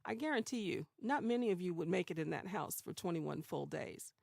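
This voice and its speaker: Creaky-voiced woman announcer, close and dry. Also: lowish voice, expressive, brisk, darkish tone, no echo. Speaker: show host and announcer. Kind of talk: scripted sign-off with informal asides.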